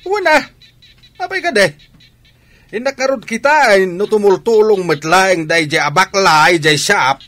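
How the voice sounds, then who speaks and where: Naunget nga ama, natangken ti ulona. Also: male voice, sits high, lively intonation, normal speed, bright, forceful tone. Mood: angry. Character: gruff, disapproving father, irritated and stubborn. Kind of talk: Filipino radio drama acting.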